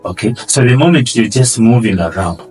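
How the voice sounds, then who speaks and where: A man with a Ugandan accent, answering interview questions earnestly and often trailing off quietly. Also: mid-range voice, lively intonation, a little fast, distant mic, faint music behind. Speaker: guest, asylum seeker.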